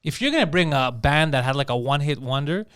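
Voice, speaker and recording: A man co-hosting a podcast in casual chat, telling stories in an excitable, profane way. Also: on the high side, fast talker, clean tone. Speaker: podcast co-host.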